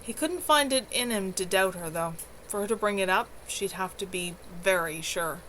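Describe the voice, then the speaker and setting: A woman's voice: mid-range voice, normal speed, a bit bright, slight background noise, poor audio. A woman reading her own novel aloud on a livestream from home, in an unpolished amateur delivery. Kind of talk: live novel reading with casual asides.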